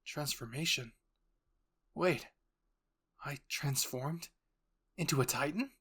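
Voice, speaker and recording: A man voices an anxious young protagonist in a roleplay story, thinking aloud. The delivery is halting and distressed, full of bewilderment and shock. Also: on the high side, sharp tone, breathy edge, full character voice. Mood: bewildered.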